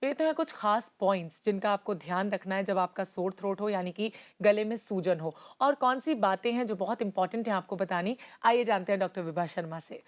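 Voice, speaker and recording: Woman host, mid-pitched, brisk and prompting. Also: faint music behind. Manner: brisk prompting with frequent "hmm" backchannels. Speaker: health show host. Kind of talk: interview hosting.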